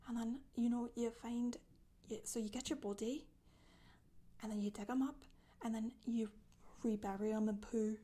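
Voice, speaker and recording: Casting: woman as a gossipy, boastful witch for soft ASMR storytelling, breathy and mellow, often whispering. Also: a little high, steady tone, normal speed, long pauses, close to an everyday voice. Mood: weary, matter-of-fact. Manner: rambling, gossipy, casual asides. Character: Scottish witch woman confessing, matter-of-fact, chatty, boastful. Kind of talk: soft-spoken ASMR character monologue. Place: small indoor room, seen seated.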